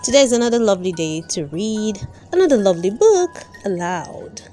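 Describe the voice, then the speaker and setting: A woman narrating a children's storybook aloud, with careful, expressive storytelling. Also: mid-range voice, fairly quick, soft background music. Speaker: storyteller, channel host.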